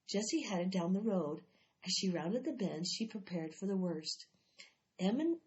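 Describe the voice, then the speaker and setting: Animated woman reading a children's book aloud. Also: medium pitch, lo-fi sound. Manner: animated, lifts her voice for the children's lines. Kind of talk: read-aloud storytelling.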